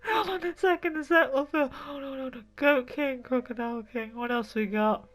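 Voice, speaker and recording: High-voiced, sweary woman gamer. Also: moderate pace, fairly bright tone. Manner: sweary, reactive, chatty. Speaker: gaming video creator. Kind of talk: casual let's play commentary. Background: game music.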